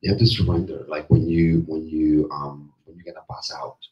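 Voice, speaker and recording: Middle-aged man, deep strained voice, halting testimony, repeated phrases, echoey room. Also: flat delivery. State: voice strained from yesterday's screaming. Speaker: group discussion participant. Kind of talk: personal testimony in a group discussion. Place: small indoor meeting room. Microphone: handheld microphone. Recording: roomy, dull handheld-mic sound.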